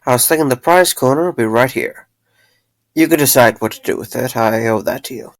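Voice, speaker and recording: A man playing a gentle, reassuring former night worker in an amateur audio drama, speaking with a hesitant, stammering delivery. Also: mid-range voice, brisk, close to an everyday voice. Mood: warm, easygoing.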